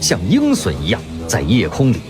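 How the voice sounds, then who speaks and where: A man narrating a radio drama in a vivid, expressive storytelling manner. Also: on the low side, moderate pace. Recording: music underneath.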